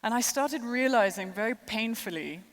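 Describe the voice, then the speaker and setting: A woman's voice: on the high side, expressive, moderate pace, long reverb tail. A woman in her thirties with a mixed international accent, French by mother tongue, giving a chatty, self-deprecating conference keynote. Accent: mixed international, French and American traces. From born in the United States, raised in six countries. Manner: chatty and self-deprecating, with light laughs and fillers. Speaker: keynote speaker. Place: large conference hall.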